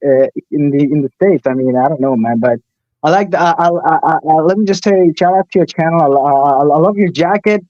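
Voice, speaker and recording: A man's voice: fairly high pitch, brisk, dark tone, no echo, highs cut off. Hesitant young man on a call. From Gulf and India. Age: 20s. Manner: hesitant, repeats words and restarts often. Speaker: caller. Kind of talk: casual chat.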